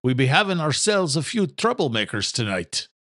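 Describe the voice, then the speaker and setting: A man's voice: a little high, big pitch swings, moderate pace, sharp tone, no room sound, studio quality, pitched above the performer's own voice. A man voicing an older Irish groundskeeper father with a thick brogue, teasing and joking in a storybook reading. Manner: playful, joking.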